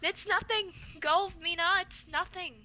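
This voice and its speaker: Woman acting a frail, high-voiced dying young woman. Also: unhurried, sharp tone, full character voice. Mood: agitated, pleading. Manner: plaintive, weary, breathy at times. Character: weak, dying young woman enthralled by a vampire. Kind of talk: vintage radio drama acting.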